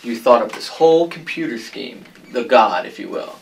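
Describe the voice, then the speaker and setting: A man's voice: mid-range voice, lively intonation, normal speed, vocal fry, recorded from a distance, slight background noise. Probing male interviewer, slightly off mic. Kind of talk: conversational interviewing.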